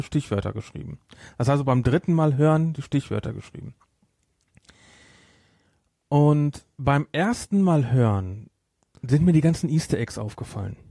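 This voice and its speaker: A man's voice: fairly high pitch, dark tone, dry recording, studio quality. A man hosting a casual podcast discussion. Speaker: podcast host.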